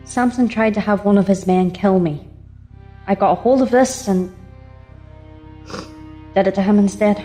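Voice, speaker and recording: A woman's voice: medium pitch, moderate pace, long pauses, faint music behind, lightly acted. A woman playing a dry, pragmatic space commander. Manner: calm, dry, practical. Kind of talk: audio drama acting.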